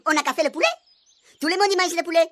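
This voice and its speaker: A woman's voice: very high voice, a bit bright. A woman voicing Rakoto, a friendly man with a Réunion Creole accent who hesitates over his words in a radio comedy. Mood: cheerful. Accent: Réunion Creole French. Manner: quick, hesitant with fillers.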